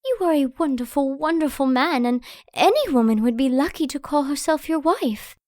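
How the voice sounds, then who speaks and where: A woman playing a sweet, excitable young Victorian lady who speaks politely and effusively in an audio drama. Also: sits high, normal speed, no echo, clean studio sound. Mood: flustered, apologetic. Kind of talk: audio drama letter narration and dialogue.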